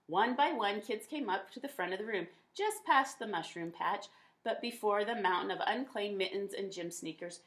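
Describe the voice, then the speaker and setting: A woman reading a children's picture book aloud in an expressive, folksy storytelling manner. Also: mid-range voice, moderate pace.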